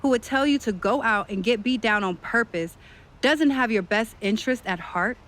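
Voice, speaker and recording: A woman's voice: a little high, moderate pace, a bit bright, close to an everyday voice. A woman playing a confident, shrewd woman wrestling star in a video game cutscene, speaking in an assertive, dismissive manner. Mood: cool, assertive.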